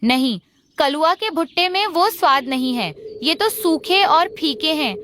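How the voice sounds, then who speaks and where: A woman's voice: high-pitched, lively intonation, measured pace, bright, forceful tone, a little hiss or hum, exaggerated voice. A woman voicing an earnest, outspoken forest bird in a children's cartoon. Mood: critical. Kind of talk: Hindi kids' cartoon dialogue.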